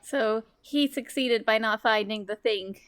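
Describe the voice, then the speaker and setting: A woman's voice: fairly high pitch, unhurried, fairly bright tone, clear, resonant tone, no room sound. A woman bantering casually as a player in a tabletop game.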